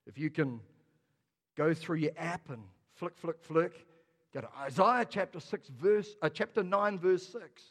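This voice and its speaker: A man preaches to his congregation with a high, lifted voice, rousing them and calling out questions as he goes. The sound carries the long reverb of a large church hall. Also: unhurried. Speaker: pastor. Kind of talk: sermon.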